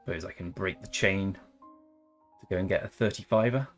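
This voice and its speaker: A young man chats loosely while playing a strategy game on stream. His voice sits a little low and he rambles between jokes. Soft guitar music plays faintly underneath. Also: normal speed. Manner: jokey, rambling, tries silly accents. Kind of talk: casual live-stream chat over gameplay.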